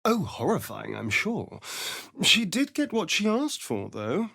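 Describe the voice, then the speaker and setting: A vain, sly vampire spawn for a video game. His delivery is slow and swoops widely in pitch, every phrase arch and sardonic. The sound is close and dry, giving his theatrical drawl full play. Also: huge pitch swings, vocal fry, bright, forceful tone, no room sound, full character voice. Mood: arch, teasing. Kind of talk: video game character voice lines.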